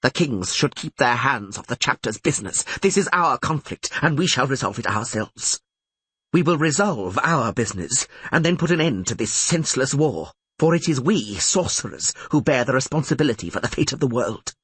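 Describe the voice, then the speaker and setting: Guy doing a husky, imperious old archmage lady, formal, talks like she's making decrees, dry close mic. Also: medium pitch, big pitch swings, normal speed, a bit bright, no echo, voice pushed up. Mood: commanding. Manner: formal, proclamatory speech. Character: stern older female archmage, imperious and commanding. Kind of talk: audiobook character voice.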